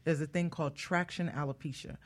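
A woman's voice: low-pitched, steady tone, brisk. Woman hairstylist explaining things emphatically. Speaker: guest hairstylist. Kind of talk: conversational expert explanation.

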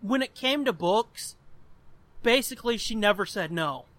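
A man's voice: high-pitched, expressive, normal speed, a bit bright, no room sound. An American man in his twenties hosting a podcast, chatting casually and telling personal stories in a rambling, profanity-laced way. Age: 20s. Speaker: podcast host.

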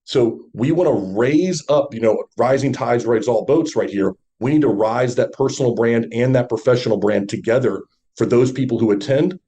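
A man with a deep, warm voice talks as a podcast guest. He coaches listeners confidently, leaning on rhetorical questions as he lays out his advice. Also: normal speed. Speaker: podcast guest, brand and media speaker. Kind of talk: motivational podcast talk.

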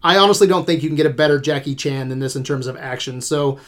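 A man's voice: a little high, quick pace. A man co-hosting a podcast in casual chat, easygoing and peppering his talk with "man". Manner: relaxed, says "man" and "yeah" often. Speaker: podcast co-host.

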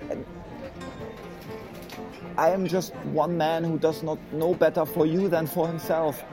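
A man as a slow, troubled German immigrant. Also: fairly high pitch. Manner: halting, searching for words. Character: troubled, guilt-ridden immigrant tradesman, weary and fearful. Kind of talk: audio drama acting. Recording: music and bar crowd behind.

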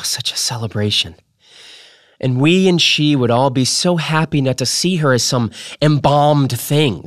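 A man reading a memoir aloud as a podcast host, in a theatrical, emphatic style with dramatic pauses. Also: fairly high pitch, no room sound, studio quality. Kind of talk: expressive dramatic literary reading.

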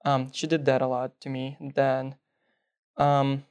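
Young male guest from Belarus, Russian native, smooth clear voice, earnest answers. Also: medium pitch, monotone, slow, darkish tone. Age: young adult. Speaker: guest, nursing student. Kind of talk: interview answers.

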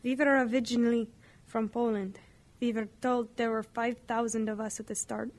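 Actress as a subdued, even-toned young camp survivor. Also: female voice, a little high, normal speed, close to an everyday voice. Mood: subdued, sorrowful. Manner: subdued, plain, short sentences. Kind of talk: radio drama acting.

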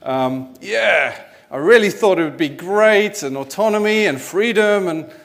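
A South African man in his forties preaches in a relaxed, storytelling way, speaking a little higher than most men. He drops in wry asides and light self-mockery as he goes. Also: measured pace. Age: 40s. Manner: conversational storytelling with asides and self-deprecating humour. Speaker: pastor. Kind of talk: sermon.

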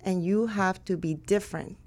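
A woman from Cuba, a school owner, answering interview questions in a measured way with some hesitations. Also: mid-range voice, darkish tone. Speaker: school owner and director, guest.

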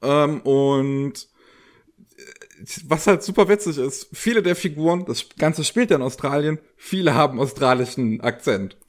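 Man, podcast co-host, casual review talk, halting, fumbles for words. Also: a little high. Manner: hesitant, searching for words with many fillers.

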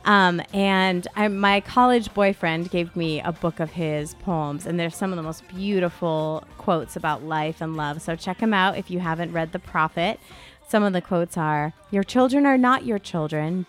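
A woman co-hosting a podcast, chatting casually and comedically in an animated, expressive way. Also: fairly low pitch, normal speed, soft background music. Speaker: podcast co-host.

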